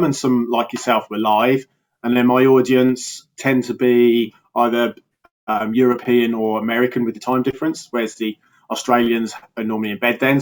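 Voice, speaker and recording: A man from England with a Birmingham accent, chatting casually as a podcast guest over a remote call, with frequent restarts and easy laughter. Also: medium pitch. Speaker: podcast guest, health coach. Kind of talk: casual interview chat.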